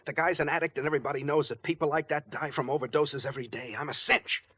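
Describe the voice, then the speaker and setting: An actor playing a nervous, guilty pharmacist in a 1940s radio drama, hesitant in manner. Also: male voice, a little high, steady tone, fast talker, pushed and bright. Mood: agitated, desperate. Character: anxious, guilty pharmacist plotting a murder. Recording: vintage 1940s radio broadcast.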